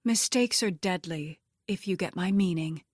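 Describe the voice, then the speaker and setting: A woman's voice: mid-range voice, unhurried, dry recording, studio quality, natural voice. A woman voicing a scheming, threatening female crook in an audiobook, with a taunting, condescending manner. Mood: hard, suspicious. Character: scheming, threatening, vain woman crime boss's underling.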